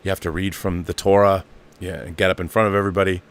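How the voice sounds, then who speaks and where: Man, fifties, slightly deep voice, casual online host, off-the-cuff fan monologue, emphatic, repetitive phrasing. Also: little pitch movement, fast talker. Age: 50s. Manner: emphatic, repeats phrases for stress, mildly profane.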